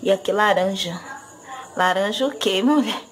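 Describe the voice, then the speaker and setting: A woman's voice: medium pitch, normal speed. Chatty woman vlogging her groceries. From Brazil. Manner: chatty, listing items with fillers like "né". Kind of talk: casual home vlog, showing groceries. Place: home kitchen, indoors. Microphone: handheld phone camera. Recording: noisy home phone recording.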